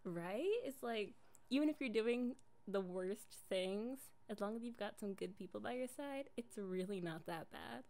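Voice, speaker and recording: A woman playing a slow-talking, affectionate jealous girlfriend. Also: mid-range voice, a little mellow, natural voice. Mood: affectionate, chatty. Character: affectionate, jealous young girlfriend, defensive and doting. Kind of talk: improvised ASMR girlfriend roleplay.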